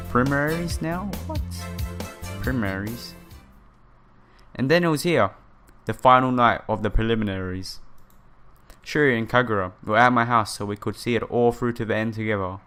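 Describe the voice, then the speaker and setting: A man's voice: mid-range voice, normal speed, fairly bright tone. A man doing a casual let's play of a visual novel, reading the text aloud with side comments and stumbling over some words. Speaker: gaming YouTuber playing a visual novel. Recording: music playing behind the voice. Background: game music.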